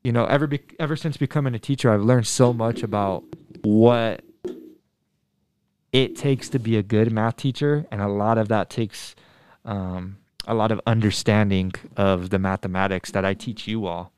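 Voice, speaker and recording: A man in his twenties from Denver, a middle school math teacher, answering students' questions in a thoughtful, earnest way, pausing often with ums and restarting sentences. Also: lowish voice, a little mellow, clean tone. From Denver, USA. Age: 20s. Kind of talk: casual interview answers to students.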